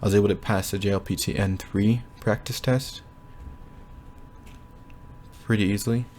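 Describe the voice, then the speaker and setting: A young man giving a casual, rambling progress update from his bedroom, often pausing to think aloud. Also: on the low side, fairly even intonation, a little mellow, slight background noise. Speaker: language learner vlogger. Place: bedroom at home.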